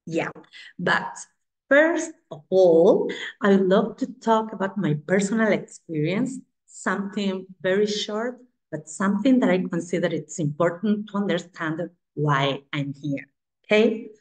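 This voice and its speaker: A woman in her forties from Spain, speaking English with a Spanish accent, presenting her language school on a video call in a halting, friendly way with frequent restarts. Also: medium pitch, expressive, darkish tone, low-quality recording. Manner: halting, repeats herself, friendly and chatty. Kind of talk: online presentation.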